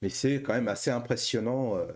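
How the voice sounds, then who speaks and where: A man's voice: mid-range voice, a little fast. Man hosting a casual panel. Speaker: show host.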